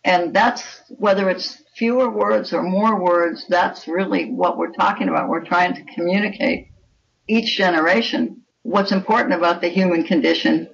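Older lady author, creaky voice, strong opinions, sounds distant and kinda dull. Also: on the low side, normal speed. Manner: opinionated, emphatic. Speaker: author guest. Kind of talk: conversational interview. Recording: muffled, distant microphone.

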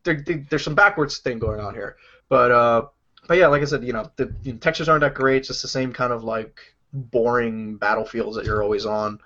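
A man's voice: medium pitch, fairly quick, fairly bright tone. A man co-hosting a casual podcast, reviewing games in a rambling way, with lots of "like" and "you know". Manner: rambling, lots of fillers and restarts, laughs easily. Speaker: podcast co-host, game reviewer. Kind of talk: casual chat. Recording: dull lo-fi podcast audio.

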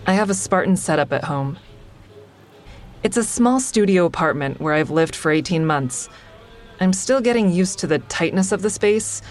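A low-pitched female narrator in a fiction podcast, played by an actress. She is a wry, wary comic who speaks candidly, as if confiding, with dry bluntness. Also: expressive, moderate pace, lightly acted. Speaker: lead character and narrator. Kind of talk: first-person audio drama narration.

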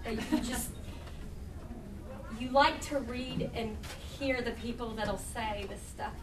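High-voiced American woman in an echoey room. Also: slow. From Huntington, West Virginia, United States. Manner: folksy, playful storytelling. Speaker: humorist reading her writing to an audience. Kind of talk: humorous live reading with chatty asides. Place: indoor live reading event. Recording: echoey room, distant mic, faint noise.

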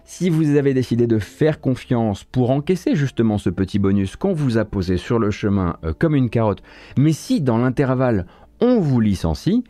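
A man's voice: mid-range voice, sing-song, moderate pace, dark tone, no echo. A man hosting a morning video game news show, commenting casually with many fillers, self-corrections and wry asides.

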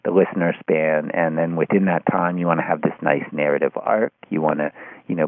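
Man, deep voice, creaky, analytical conversation, hesitant hedged phrasing, thin telephone-like sound. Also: dry recording. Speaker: podcast discussion participant. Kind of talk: casual analytical discussion. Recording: muffled phone-like line.

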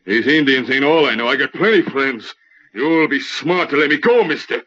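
An actor playing a surly, threatening outlaw in an old-time radio western. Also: male voice, mid-range voice, animated, moderate pace, fairly bright tone. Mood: defiant. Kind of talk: 1940s radio western acting. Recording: muffled, very noisy old radio recording.

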